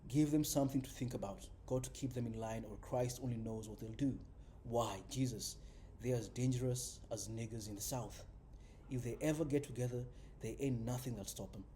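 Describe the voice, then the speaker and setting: A man's voice: medium pitch, moderate pace, mellow, lightly acted. A man voicing a hostile, contemptuous rural lawman in a storybook reading, blunt and folksy. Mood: menacing. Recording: faint background noise.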